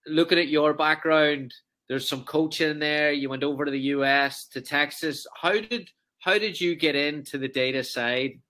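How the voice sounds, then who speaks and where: A man hosting a podcast, introducing his guest and asking questions with quick enthusiasm. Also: a little high, pushed and bright. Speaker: podcast host. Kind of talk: podcast interview.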